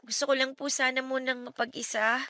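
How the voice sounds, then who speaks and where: Very high, anguished young daughter. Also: female voice, level tone, a little fast, sharp tone, no echo. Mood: subdued, pleading. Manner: pleading, emotional, respectful turning defiant. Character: wronged, anguished young daughter pleading with her mother. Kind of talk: Tagalog radio drama acting. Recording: dramatic music under the dialogue.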